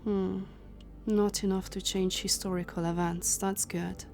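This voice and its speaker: A woman playing a composed, authoritative leader of a secret time-travel organization, speaking formally and with measured command. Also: medium pitch, level tone, gravelly, darkish tone, soft background music. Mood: stern, considering. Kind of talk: cinematic audio roleplay acting.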